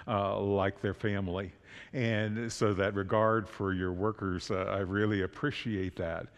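An older man, a pastor, interviewing a guest during a church service in a conversational way. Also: lowish voice. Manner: conversational, standing and gesturing. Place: church sanctuary. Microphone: stand mic. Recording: long reverb of a church hall.

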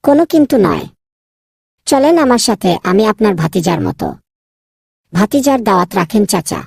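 Someone voicing a bold young boy, creaky husky voice. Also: medium pitch, lively intonation, moderate pace, no echo, clean studio sound. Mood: insistent, kind. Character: kind, bold young boy Nilu, bossy with his friend. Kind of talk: children's moral cartoon dubbing.

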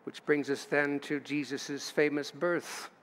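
Older male pastor, higher-pitched voice, preaching from a lectern, church livestream with a long ringing reverb. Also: fairly even intonation, measured pace, a bit bright. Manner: storytelling, reading scripture aloud with asides. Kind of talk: sermon. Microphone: lectern mic.

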